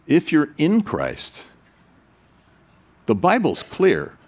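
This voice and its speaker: A man preaching a sermon as pastor, reading scripture solemnly and adding joking, animated asides. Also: a little high, extremely slow.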